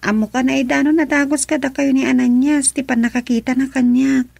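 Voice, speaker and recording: An actress with an Ilocano accent playing a gentle, apologetic young woman troubled by conscience in a radio drama. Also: high voice, fairly even intonation, a little fast, vocal fry, no room sound, exaggerated voice. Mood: reassuring.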